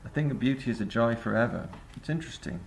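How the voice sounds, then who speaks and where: A man's voice: medium pitch, expressive, fast, creaky, a little hiss or hum, low-quality recording. A man giving a reflective spoken essay to camera as a vlogger, rambling and hesitant with many filler sounds. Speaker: vlogger and busking singer.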